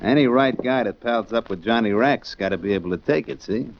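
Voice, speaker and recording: An actor playing a tough, menacing gangster in a 1940s radio crime drama, with curt, commanding, slangy talk. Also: male voice, medium pitch, fairly quick, natural voice. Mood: mocking, bossy. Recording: muffled, noisy 1940s radio recording.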